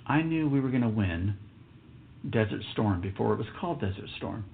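A man's voice: lowish voice, expressive, normal speed, creaky. A man in his fifties from Texas hosting a solo podcast, telling a story in a reflective, emphatic way and dropping to a whisper for asides. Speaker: podcast host. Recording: tinny phone-like sound.

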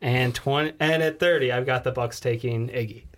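A man's voice: medium pitch, moderate pace, fairly bright tone. A man co-hosting a casual sports podcast, talking in a loose, slangy, rambling way. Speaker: podcast co-host.

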